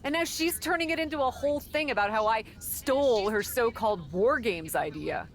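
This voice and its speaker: An actress playing a young pro wrestler in a video game, offhand and breezy with a defensive edge. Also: female voice, a little high, lively intonation, moderate pace, faint music behind. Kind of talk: casual video game cutscene dialogue.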